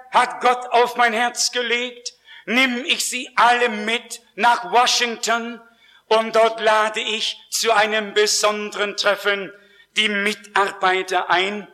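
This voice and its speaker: A male preacher delivers his sermon at an unhurried pace, leaning hard on key words. His voice sits high for a man and has a bright, forceful edge. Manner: slow, emphatic preaching with rising stresses. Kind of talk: sermon with personal testimony.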